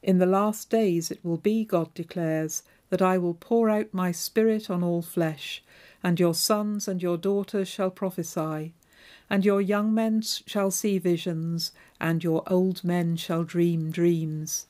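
Woman preacher, lowish voice, measured and clear. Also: steady tone, darkish tone. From Devon, England. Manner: measured, clear liturgical delivery. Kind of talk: scripture reading and sermon.

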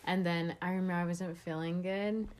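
A woman's voice: fairly low pitch, moderate pace. Rambling young woman, casual chat. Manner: relaxed, rambling, laughs often, mild swearing.

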